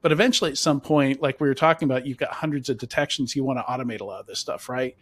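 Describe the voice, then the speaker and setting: A man's voice: medium pitch, very fast. A man moderating a webcast panel over a video call, asking rambling questions full of fillers.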